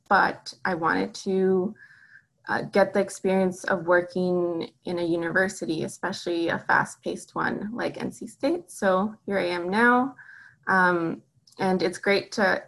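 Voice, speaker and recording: A woman's voice: on the low side, gravelly, a bit bright, low-quality recording. A young woman from Miami, a university program coordinator, answering interview questions in a friendly, conversational way with some ums. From Miami, Florida.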